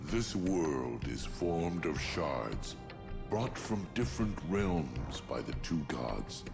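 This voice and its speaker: An actor playing a solemn, brooding sorcerer and older brother in a video game, speaking formally and gravely over music. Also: male voice, deep voice, sing-song, takes it slowly, exaggerated voice. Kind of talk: theatrical video game cutscene acting.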